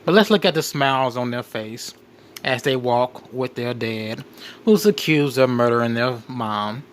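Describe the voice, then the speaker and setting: A man hosting a crime commentary, reading out case details in a halting way and often repeating his phrases. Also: mid-range voice, slight background noise. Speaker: show host.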